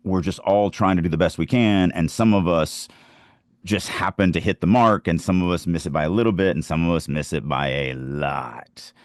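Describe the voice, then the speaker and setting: A man's voice: low-pitched, moderate pace, dry recording, studio quality. A man co-hosting a podcast, casually discussing the craft of writing. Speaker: podcast co-host and writer.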